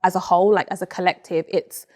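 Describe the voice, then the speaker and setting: A young woman from Nigeria, an interview guest in a dark studio, speaking earnestly and with self-assurance on a lapel mic. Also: on the low side, steady tone, quick pace. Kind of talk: casual interview chat. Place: dark studio set, indoors.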